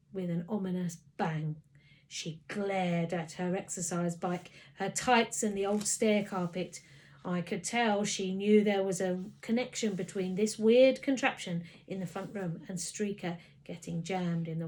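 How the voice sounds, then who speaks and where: A woman narrating a children's story in an expressive storytelling style. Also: mid-range voice. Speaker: story reader.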